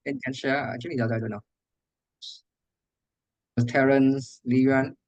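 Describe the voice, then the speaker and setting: A man's voice: mid-range voice, steady tone, normal speed. Man on a dull lo-fi call line. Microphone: video call.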